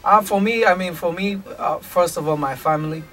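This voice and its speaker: A man's voice: sits high, brisk. A man from Kenya, a music producer and songwriter, chatting casually as an interview guest and repeating phrases for emphasis. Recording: slightly distant mic, music behind.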